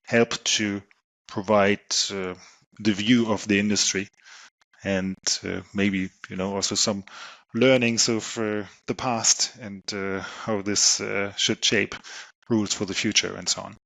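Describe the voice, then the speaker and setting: A middle-aged man with a German accent, a guest on an interview podcast, answering with many pauses and fillers. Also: on the low side, little pitch movement, creaky, fairly bright tone. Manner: hesitant, many ums and you knows. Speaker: guest, solar company technology chief.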